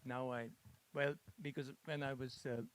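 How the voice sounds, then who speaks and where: A man from Hungary, a Hungarian speaker, making halting remarks in a masterclass discussion, full of restarts and fillers. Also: medium pitch, flat delivery, fairly bright tone, dry recording. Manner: hesitant, many restarts and fillers. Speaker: masterclass participant.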